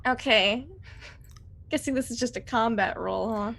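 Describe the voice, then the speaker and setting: A woman's voice: a little high, normal speed, vocal fry, a bit bright. A woman playing a tabletop roleplaying game, chatting casually in a playful way.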